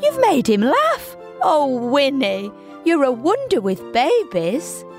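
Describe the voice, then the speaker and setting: A woman's voice: sits high, very animated, soft background music, pitched above the performer's own voice, heavily acted. A woman voicing a tired young mother, weary and fretful, drawing her words out. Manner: weary, fretful, slow and drawn-out.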